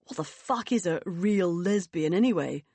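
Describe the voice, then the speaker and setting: A woman's voice: on the low side, expressive, moderate pace, darkish tone, breathy edge, no room sound. A woman voicing a laid-back, blasé man in an audiobook, terse and unbothered.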